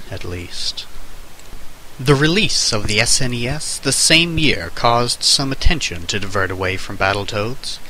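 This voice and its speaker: A man's voice: fairly low pitch, pushed and bright, low-quality recording. A man giving an amateur dramatic reading of a comic essay in a mock-serious, theatrical manner. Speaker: amateur reader. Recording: hissy amateur microphone recording.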